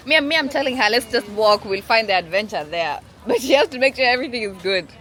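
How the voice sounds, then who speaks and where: A woman's voice: fairly high pitch, animated, quick pace, fairly bright tone. A young woman from Kenya chatting casually in a travel vlog, joking and laughing often, filmed on a phone. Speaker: vlogger. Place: beach outdoors. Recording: outdoor noise behind.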